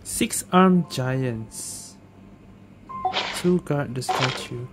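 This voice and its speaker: A woman's voice: extremely low pitch, animated, extremely slow. A woman doing casual gameplay commentary, thinking aloud and repeating herself. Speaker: gaming streamer. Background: game music.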